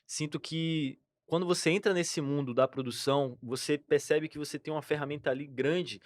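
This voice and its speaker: A man's voice: on the high side, fairly even intonation, normal speed, no echo, clean studio sound. A young Brazilian man co-hosting a music podcast, laid-back and slangy as he talks about songwriting. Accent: Brazilian Portuguese. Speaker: podcast co-host, singer and lyricist. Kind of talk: casual podcast chat.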